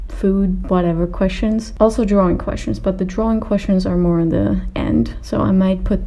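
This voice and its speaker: A woman's voice: mid-range voice, lively intonation, moderate pace, dark tone. A woman in her twenties from Austria and Greece chatting casually as a video creator, in an informal, rambling and slightly hesitant way. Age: 20s. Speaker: video creator answering viewer questions. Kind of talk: casual chat to camera.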